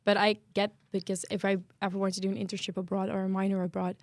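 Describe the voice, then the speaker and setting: A woman's voice: medium pitch, flat delivery, fast, no echo. A young woman hosting a podcast about student life, chatty and tripping over a word now and then. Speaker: podcast host.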